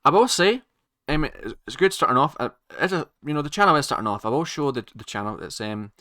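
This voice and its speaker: A man giving casual reaction commentary as a channel host, halting and rambling as he circles back to repeat himself. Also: fairly high pitch, animated, sharp tone, dry recording, clean studio sound.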